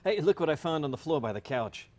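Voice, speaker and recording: An actor playing an affectionate, puzzled young husband in a theatrical comedy reading, earnest and inquisitive. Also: male voice, a little high, lively intonation, fast talker. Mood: curious, concerned.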